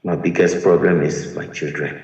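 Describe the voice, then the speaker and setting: Guy, deep voice, talks slow and earnest, echoey room with a long ring. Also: fairly even intonation, darkish tone, dull audio. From Liberia. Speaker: retired soldier telling his story. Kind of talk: personal testimony to camera.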